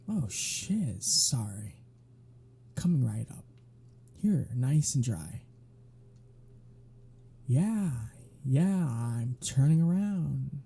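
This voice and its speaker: Wry, protective male vampire played by a man for intimate ASMR roleplay; unhurried, raspy-soft delivery with dry flirtatious banter. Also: mid-range voice, heavily acted. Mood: flustered.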